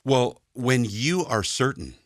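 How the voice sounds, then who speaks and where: A man's voice: mid-range voice, very animated, takes it slowly, no echo, clean studio sound. An older man co-hosting a casual faith conversation, telling folksy stories in an earnest, plain-spoken way. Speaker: co-host of church midweek chat.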